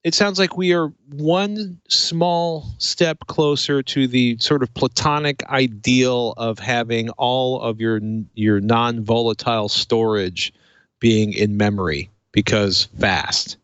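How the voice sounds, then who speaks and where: A man hosting a tech show in a casual, chatty style with a playful, wry and expressive manner. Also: mid-range voice, takes it slowly, clear, resonant tone, no room sound, lo-fi sound.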